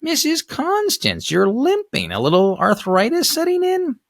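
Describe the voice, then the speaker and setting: A man voicing a nosy, pushy older woman neighbour in a storybook, shrill and eager, with drawn-out words. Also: huge pitch swings, vocal fry, no echo, studio quality, heavily acted. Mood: gushing, nosy.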